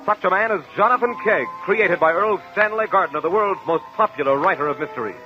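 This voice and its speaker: A man's voice: high voice, a little fast. A man with an American accent making portentous, scripted announcements in the dramatic style of 1950s radio. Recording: dull lo-fi old radio recording. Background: loud music.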